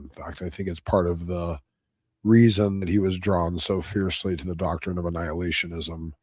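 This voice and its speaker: Man, slightly hoarse, blunt, profane, combative, casual stream commentary, dry close sound. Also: low-pitched, a little mellow, no echo. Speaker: debate reviewer streaming live.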